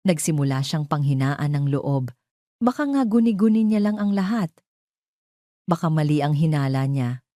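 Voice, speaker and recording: A Filipino woman reads a dramatic audio story in a low, mellow voice. She tells it in a measured, theatrical way, and the recording is close and dry. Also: lively intonation, no room sound. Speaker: narrator.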